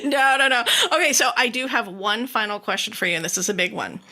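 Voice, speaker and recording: A woman's voice: on the high side, a little fast, sharp tone. A woman hosting a casual podcast interview, effusive and encouraging with her guest. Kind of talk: casual, upbeat interview chat.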